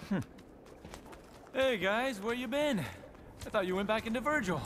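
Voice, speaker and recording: An actor playing a brash middle-aged demon hunter in a video game cutscene, with sardonic swagger. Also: male voice, sits high, animated, a little slow, a bit bright, a little hiss or hum. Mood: wry. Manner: laid-back, sardonic swagger.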